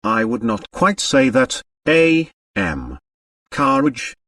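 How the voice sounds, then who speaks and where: A man's voice: mid-range voice, huge pitch swings, slow, a bit bright, no room sound, studio quality, recorded from a distance, heavily acted. A man voicing a pompous French police commissary in an audiobook, deferential, portentous and cautious. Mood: discreet.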